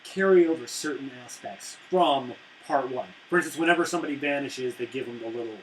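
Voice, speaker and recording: A man's voice: mid-range voice, brisk, a little hiss or hum, poor audio. A young man co-hosting a casual movie review, jokey and profane. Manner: jokey, profane, acts out scenes. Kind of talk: casual banter.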